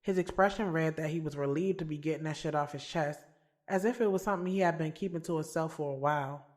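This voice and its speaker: A woman's voice: low-pitched, fast, a little mellow, close to an everyday voice. A woman playing a sassy, candid young woman in an audio drama, telling her story in a casual, slangy way, swearing freely. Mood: taken aback, reflective. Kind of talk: audio drama first-person narration and dialogue. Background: music.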